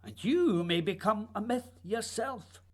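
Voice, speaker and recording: A woman's voice: medium pitch, lively intonation, measured pace. A woman playing a dreamy, evasive male writer with earnest, poetic delivery in a radio play. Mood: urging, inspiring.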